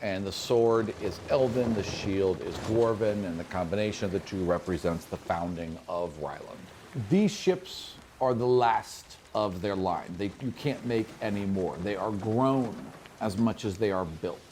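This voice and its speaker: A man's voice: fairly low pitch, a little slow, darkish tone, slight background noise. A man acting as game master, narrating a tabletop fantasy game in an explanatory, conversational way.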